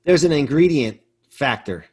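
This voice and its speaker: A man's voice: on the high side, expressive, moderate pace, breathy edge. A man in his forties speaking emphatically and motivationally as an interview guest, with a driven manner, repeating words for stress. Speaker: podcast guest, performance coach and business manager.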